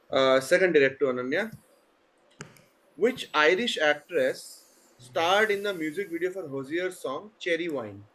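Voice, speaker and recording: A man's voice: a little high, low-quality recording. A man with an Indian English accent hosting an online quiz, reading questions steadily and chatting between answers. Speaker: quizmaster.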